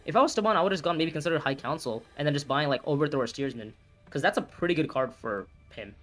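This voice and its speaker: A man in his early twenties streaming a board game, thinking his moves aloud, reacting loudly and swearing at bad turns. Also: a little high, animated, fast, faint music behind. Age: 20s. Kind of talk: live gameplay commentary, thinking aloud.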